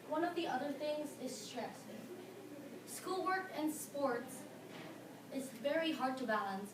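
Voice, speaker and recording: Filipino-accented teen girl, high voice, earnest prepared speech with fillers, in an echoey, noisy room some way from the mic. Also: far from the mic. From Philippines. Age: teens. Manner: earnest, halting with fillers, stressing key words. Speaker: high school student speaker.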